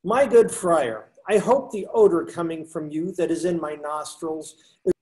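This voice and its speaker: An older man voicing a pious young friar who loves books, reading slowly and earnestly over a video call. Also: on the high side, a little mellow, natural voice, low-quality recording. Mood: solemn.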